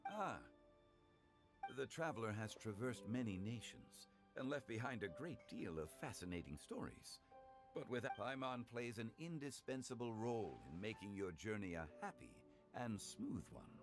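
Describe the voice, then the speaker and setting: A courteous, dignified game scholar speaks in a fairly low voice with expressive pitch movement. His phrasing is formal and measured, over clear background music. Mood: approving. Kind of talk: animated game cutscene dialogue.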